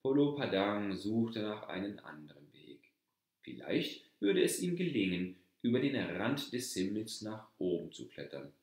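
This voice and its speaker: A man reads a fairy tale in a deep, low voice, calm and measured. He takes his time, and the room around him rings with echo. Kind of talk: slow storybook narration.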